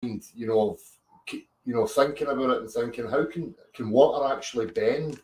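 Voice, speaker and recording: A reserved man, interviewed as a guest over a live call, speaks softly and hesitantly with many restarts. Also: medium pitch, moderate pace. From Scotland. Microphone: remote call mic. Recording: distant echoey mic on a live call.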